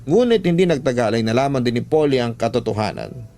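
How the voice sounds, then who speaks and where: A man's voice: mid-range voice, lively intonation, fast. A man with a Filipino accent telling a love story as a radio drama storyteller, in an emotive, sentimental scripted style. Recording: music bed behind the voice.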